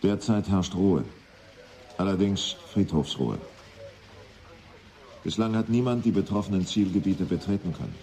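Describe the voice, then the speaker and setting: Very deep, dark-voiced grim general. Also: male voice, animated, rough recording. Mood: grim, bitter. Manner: sombre, bitter, measured. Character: grim, disillusioned male NATO general. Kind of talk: radio play military report.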